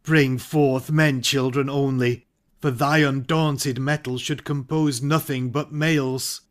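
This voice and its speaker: A man playing a hesitant, ambitious nobleman in a Shakespearean audio drama, speaking verse in a measured way. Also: mid-range voice, fairly even intonation, close to an everyday voice. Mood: resolved.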